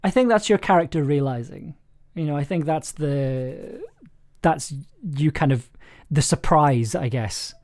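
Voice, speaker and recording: A man's voice: on the high side, a little slow, darkish tone. A man hosting a casual script session, giving encouraging notes while searching for his words. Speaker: host and scriptwriter.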